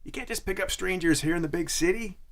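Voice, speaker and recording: A man's voice: high voice, quick pace. A man playing a cocky, condescending young grad student in an audio drama, flippant and patronizing. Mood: mocking, condescending.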